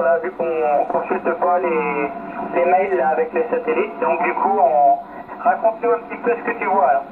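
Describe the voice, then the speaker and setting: High-pitched man over a noisy radio link. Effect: radio transmission. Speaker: amateur radio operator on an island expedition. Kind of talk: casual amateur radio contact. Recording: distant, noisy, narrowband shortwave radio link. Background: clear radio noise.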